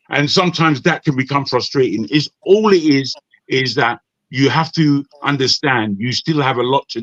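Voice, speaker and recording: A man from Nigeria speaking on an online panel discussion, giving measured advice with many restarts. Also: medium pitch, fairly bright tone, dry recording, highs cut off. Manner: measured, advising, frequent restarts. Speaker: panel participant.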